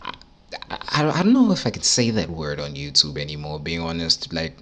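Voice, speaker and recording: A man's voice: very deep voice, huge pitch swings, moderate pace, fairly bright tone. A man narrating a casual, comedic video game recap in an irreverent, wry way. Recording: music behind the voice.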